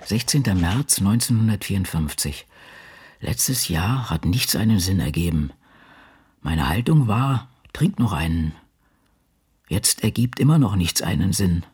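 An actor in a literary radio drama voicing the brooding diary thoughts of an aging crime novelist, gravelly and husky. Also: male voice, low-pitched, animated, measured pace, darkish tone, full character voice. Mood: bitter, resigned.

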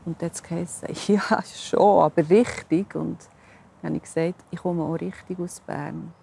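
Swiss presenter, woman, mellow gravelly voice, reflective documentary tone. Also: on the low side, steady tone, moderate pace, slight background noise. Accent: Swiss German. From Switzerland. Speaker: television presenter. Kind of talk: documentary interview.

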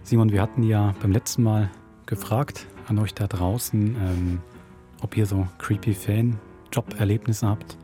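Mellow, lowish-voiced male podcast host. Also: fairly even intonation, measured pace, soft background music. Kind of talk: casual podcast hosting.

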